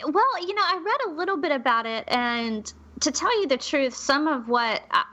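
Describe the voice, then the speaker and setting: Bright-voiced woman telling stories conversationally. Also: high-pitched, sing-song, normal speed, highs cut off. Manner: chatty, candid, hedges with asides. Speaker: podcast co-host. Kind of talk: conversational storytelling with notes.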